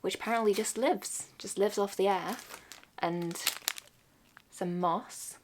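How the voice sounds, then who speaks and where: A woman's voice: mid-range voice, slow pace, noisy background. A young woman with an English accent chatting casually and enthusiastically to camera as a vlogger.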